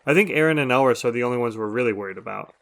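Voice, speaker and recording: A man's voice: mid-range voice, racing, fairly bright tone, close to an everyday voice. A man playing a chatty, upbeat survivor who runs a radio show in an audio drama, talking casually and teasingly. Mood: reassuring.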